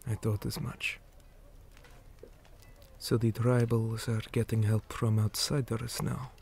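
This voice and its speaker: A man playing a weary, stern orc commander in an ASMR roleplay, speaking in a hushed, deliberate manner that often drops toward a whisper. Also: medium pitch, level tone, vocal fry, slight background noise. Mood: calm, probing. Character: weary male orc commander, stern, measured, dutiful soldier. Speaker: interrogator in a roleplay.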